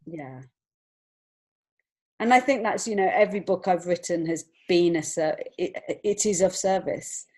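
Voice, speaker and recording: A woman's voice: on the low side, unhurried, darkish tone. A woman speaking as an interview guest in an earnest, reflective and encouraging way. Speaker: podcast guest, children's author and illustrator. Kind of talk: conversational interview answers.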